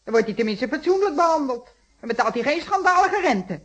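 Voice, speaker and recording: An actress playing a blunt, indignant working-class shopkeeper's wife in a radio detective play, outspoken and scornful in colloquial Netherlands Dutch. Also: female voice, high voice, lively intonation, fairly quick.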